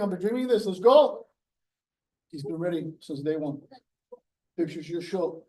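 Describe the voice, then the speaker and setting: Coach talking in an echoey gym. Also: male voice, high voice, a little mellow, distant mic. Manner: heartfelt, acts out quotes with a rising voice. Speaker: jiu-jitsu coach. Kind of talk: speech at a belt promotion. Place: martial arts gym.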